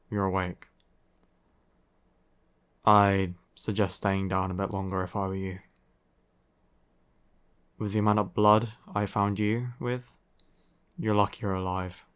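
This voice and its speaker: Man acting as a slow, low-voiced grumpy vampire. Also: a bit bright, clean tone. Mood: curt, dry. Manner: sardonic, sighing, curt. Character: grumpy young male vampire, weary and exasperated. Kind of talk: roleplay audio acting, ASMR.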